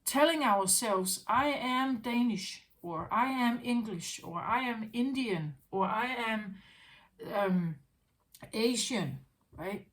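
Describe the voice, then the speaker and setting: Slow, musing woman with a higher voice. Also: lively intonation, a bit bright, low-quality recording. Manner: musing, repeats phrases, asks "right?". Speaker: intuitive tarot reader. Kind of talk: unscripted spiritual monologue.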